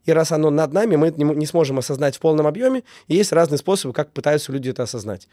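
A man getting over a cold talks quickly and earnestly in a casual interview. His voice sits fairly high but sounds mellow, and the recording is dry and close. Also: expressive, no echo. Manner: earnest, repeats key words, many fillers. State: recovering from a cold. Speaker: business owner, guest.

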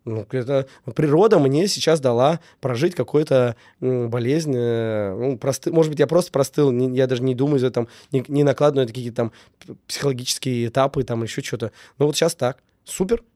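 Male interview guest, slight cold, quick delivery, soft-edged tone, emphatic list-like repetition, informal. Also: medium pitch, no room sound, studio quality. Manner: emphatic, repeats phrases in lists. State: getting over a cold. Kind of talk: casual reflective talk on relationships.

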